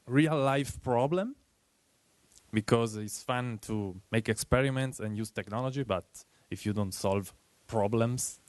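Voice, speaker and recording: A man with an Italian accent presenting at a tech meetup. His voice is smooth and resonant, recorded close and dry, and he speaks earnestly, often pausing on fillers. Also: medium pitch, no echo. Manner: earnest, halting, many fillers.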